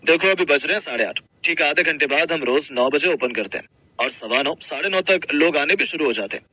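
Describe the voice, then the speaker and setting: A man's voice: fairly high pitch, animated, brisk, bright, forceful tone, no room sound, rough recording. A man playing a friendly young restaurant manager in a Hindi cartoon story, explaining things in a casual, businesslike way. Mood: brisk, friendly.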